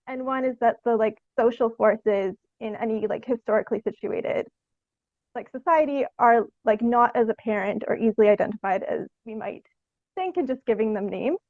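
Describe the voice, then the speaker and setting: High-voiced young woman, thinking aloud. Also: normal speed, no echo, narrow, tinny audio. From Canada. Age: young adult. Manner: hesitant, thoughtful, frequent "like" as filler. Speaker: podcast guest, theatre artist. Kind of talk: conversational interview answers.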